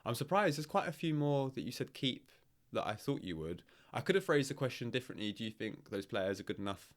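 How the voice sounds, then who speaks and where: A man's voice: medium pitch, very animated, a little fast. A man hosting a football podcast, chatty and leading the questions in casual talk. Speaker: podcast host. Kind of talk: casual sports chat.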